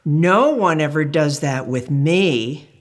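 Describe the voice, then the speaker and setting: A woman voicing a wistful, envious kitchen utensil in a storybook, with a drawn-out, admiring tone. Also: low-pitched, lively intonation. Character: wistful utensil friends, envying an easygoing pal.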